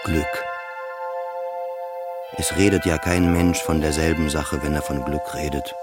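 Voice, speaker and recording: Deep-voiced introspective man narrating over music. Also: fairly even intonation, a little slow. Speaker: narrator of an autobiographical radio play. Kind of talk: scripted first-person literary narration.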